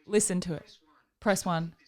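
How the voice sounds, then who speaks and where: A woman's voice: lowish voice, fairly even intonation, normal speed. A young woman chatting casually as a podcast co-host, blunt and sweary. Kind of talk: casual chat.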